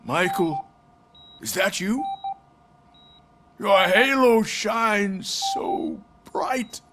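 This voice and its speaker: A man playing a frail, dying old corporate boss in a video game, with a theatrical, halting delivery. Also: high-pitched, lively intonation, fairly bright tone, slight background noise, exaggerated voice. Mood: delirious, wistful. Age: older.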